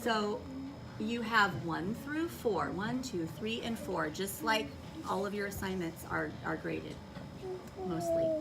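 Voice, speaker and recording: Casting: female elementary teacher, mid-pitched, instructing a class, recorded from across a noisy room. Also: big pitch swings, slow, creaky. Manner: instructive, checks understanding with questions. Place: school classroom. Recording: distant mic, noisy classroom.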